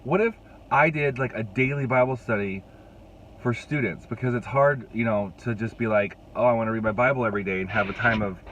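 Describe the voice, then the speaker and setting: A man's voice: mid-range voice, a little fast, a bit bright, muffled recording, a little hiss or hum. A young adult man, a youth pastor, chatting casually to his phone camera while fighting a cold, rambling with restarts. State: congested, fighting a cold, voice rough. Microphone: phone held at arm's length.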